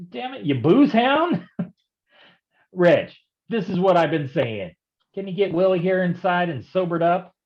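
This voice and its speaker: An actor playing a hard-boiled male private detective in a noir staged reading, gruff and wisecracking in old-time gumshoe slang. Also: high-pitched, very animated, slow pace, long pauses, muffled recording, full character voice. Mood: exasperated.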